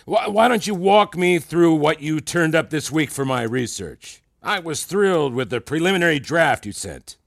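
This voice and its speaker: A man playing a smug older mentor in an audio drama, theatrical and effusive, handing out flattery with condescension and pointed pauses. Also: fairly high pitch, gravelly, no room sound, clean studio sound. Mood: condescending praise. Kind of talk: fiction podcast audio drama acting.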